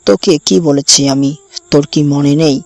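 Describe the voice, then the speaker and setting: A man's voice: on the high side, steady tone, a little slow, creaky, no room sound, soft background music. A man playing a possessive, threatening young campus bully in an audio drama, speaking with commanding contempt. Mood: menacing. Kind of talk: audio story acting.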